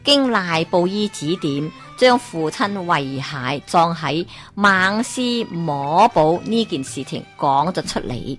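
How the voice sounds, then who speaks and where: Lively Hong Kong woman telling folk tales. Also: fairly low pitch, takes it slowly, hardly any pauses, faint music behind. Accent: Hong Kong Cantonese. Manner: lively, asks listeners rhetorical questions. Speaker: storyteller. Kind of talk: Cantonese folk-tale storytelling.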